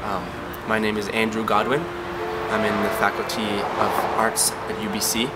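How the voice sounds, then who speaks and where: A young man, a student whose first language is French, telling his life story with many pauses and ums. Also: lowish voice, low-quality recording. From Canada. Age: young adult. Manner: halting, many ums, even and flat delivery. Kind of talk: personal story told to camera. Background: background music.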